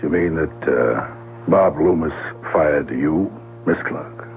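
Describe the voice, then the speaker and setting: An actor playing a methodical, probing police detective in 1970s radio drama, gruff and gravelly with an official manner. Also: male voice, extremely low pitch, slow, slight background noise, exaggerated voice. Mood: dryly inquisitive. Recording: tinny, humming old radio broadcast recording.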